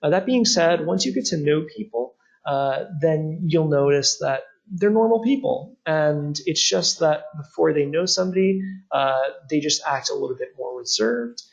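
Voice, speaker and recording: Young man, webinar presenter, husky, gravelly, lots of uh fillers, earnest. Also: a little high, expressive, moderate pace, poor audio. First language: not Czech. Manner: frequent "uh" fillers, earnest and explanatory. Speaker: study abroad program presenter. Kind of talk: webinar presentation over slides.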